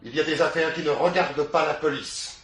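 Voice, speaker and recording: Noisy recording of a haughty older gentleman man acting. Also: fairly high pitch, brisk, natural voice. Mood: curt dismissive. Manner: formal, imperious, sarcastic. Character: haughty, curt upper-class father, older gentleman. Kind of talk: French radio mystery drama acting. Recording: noisy old radio drama recording, clicks.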